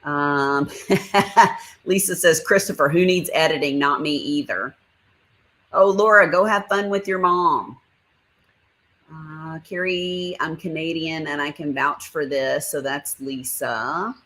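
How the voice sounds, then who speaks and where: Low-voiced woman chatting on a livestream. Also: fairly even intonation, takes it slowly, pushed and bright. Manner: rambling, reads chat comments aloud. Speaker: livestream host. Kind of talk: casual livestream chat.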